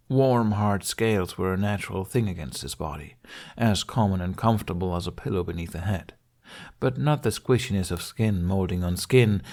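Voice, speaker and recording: Guy narrating a fantasy audiobook, fairly low voice with lots of gravelly fry. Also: darkish tone. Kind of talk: scripted fantasy fiction narration.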